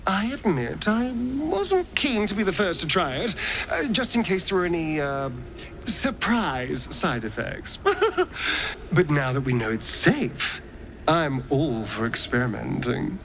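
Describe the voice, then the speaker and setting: A self-indulgent male rogue for a fantasy game, arch and theatrical. His pitch swoops widely while the pace stays leisurely. The teasing, flamboyant delivery sits over faint ambient noise. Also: big pitch swings, unhurried, a bit bright, heavily acted. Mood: coy, eager. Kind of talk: video game character dialogue.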